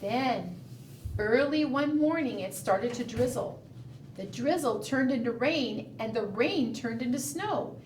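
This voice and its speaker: A woman reads aloud to preschoolers as a storytime leader. Her voice is high and her pace is very unhurried, with a sing-song lilt and questions for the young listeners. The sound is echoey, as if picked up in a room. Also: animated, darkish tone. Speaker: children's librarian leading preschool storytime. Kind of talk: read-aloud storytelling and fingerplay for young children. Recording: roomy, distant mic, faint background noise.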